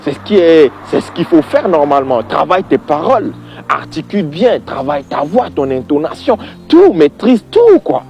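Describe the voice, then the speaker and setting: A man's voice: fairly high pitch, very animated, normal speed, darkish tone, slight background noise. A man giving an informal religious opinion monologue, exasperated and emphatic, repeating points and addressing the listener directly.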